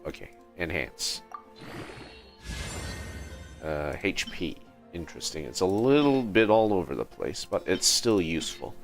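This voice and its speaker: A man giving casual livestream gameplay commentary, thinking aloud in a halting, muttering way. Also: sits low, creaky. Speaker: game livestreamer.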